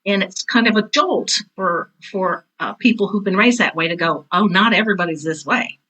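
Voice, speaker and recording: A woman's voice: medium pitch, normal speed, rough recording. An older woman in her sixties or beyond, speaking as an interview guest in a candid, blunt, conversational way, often acting out quoted remarks. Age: 60s or older. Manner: blunt, animated storytelling with self-quotes. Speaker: interview guest, veteran theater teacher.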